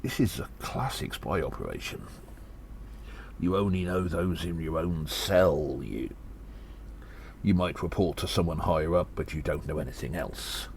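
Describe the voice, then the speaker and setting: A man playing a weary gnome investigator in an audio drama, musing haltingly and deliberately with breathy sighs. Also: very deep voice, animated, darkish tone, exaggerated voice. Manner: slow, deliberate, halting, pondering. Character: weary gnome investigator reporting on a spy conspiracy. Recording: faint background noise.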